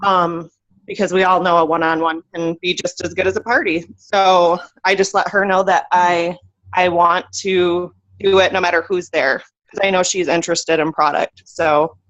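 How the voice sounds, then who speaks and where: A woman's voice: fairly low pitch, steady tone, normal speed, fairly bright tone, no echo. A woman chatting on a video call from an odd corner of her home, upbeat, with lots of fillers and laughs. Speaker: team leader on a call. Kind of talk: casual video call chat. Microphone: computer mic on a video call. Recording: dull, lo-fi call audio.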